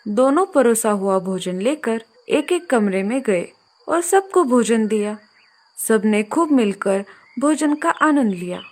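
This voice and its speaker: A woman storyteller with a slightly high voice. She delivers scripted narration for a children's cartoon tale. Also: expressive, slow pace, a little mellow.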